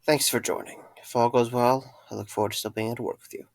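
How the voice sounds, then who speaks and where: A man acting an earnest, guilt-ridden man in an audio drama, with halting, serious delivery. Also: medium pitch, fairly even intonation, creaky, noisy background, lightly acted. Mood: reassuring. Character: earnest, guilt-ridden man confessing dark secrets to a friend.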